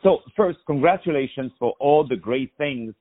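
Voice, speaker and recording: A man's voice: medium pitch, animated, moderate pace, phone-quality sound. A man hosting a radio interview, effusive and full of praise, often repeating his words as he talks. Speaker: radio host.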